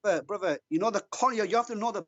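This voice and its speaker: A man calling in to a religious debate, speaking haltingly and defensively, stammering and repeating words. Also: high-pitched, fairly bright tone, highs cut off.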